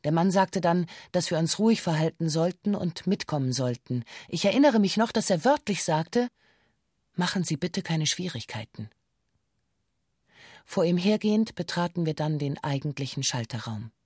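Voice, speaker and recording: Low-voiced, formal female witness. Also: lively intonation, normal speed, a little mellow, husky, dry recording, clean studio sound. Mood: sober, factual. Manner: formal, matter-of-fact, precise. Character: female bank employee giving a formal witness statement. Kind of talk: scripted radio play monologue in stiff police-statement language.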